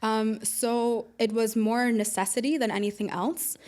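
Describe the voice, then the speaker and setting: A woman's voice: a little high, steady tone, a little slow, a little mellow. Chatty woman from the UAE. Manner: informal, explains with everyday analogies. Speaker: podcast guest, air traffic controller. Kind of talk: conversational interview storytelling.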